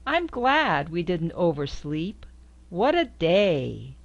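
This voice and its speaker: Older lady reading a kids book slow, sing-songy, squeaks up on the honks, kinda muffled home video. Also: sing-song. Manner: sing-song, squeaks high on the horn sounds. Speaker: storybook reader. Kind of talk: reading a picture book aloud. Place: indoors before a quilt backdrop.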